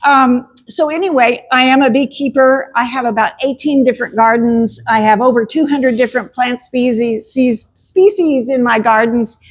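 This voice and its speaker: A woman presents a gardening talk in a chatty, emphatic way. She speaks slowly and unhurriedly, her voice sitting a little high, and laughs often between points.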